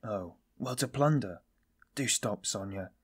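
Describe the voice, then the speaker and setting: A man playing a weary, self-loathing man in audiobook dialogue, confessing in a brooding, bitter, halting manner. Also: medium pitch, breathy edge, natural voice. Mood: weary, vexed.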